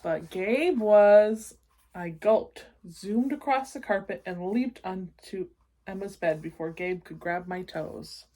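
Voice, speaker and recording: Slow-paced woman reading a storybook. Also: mid-range voice. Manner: animated, explanatory, checking in with listeners. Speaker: read-aloud storyteller for children. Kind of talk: expressive storybook narration with asides to young listeners.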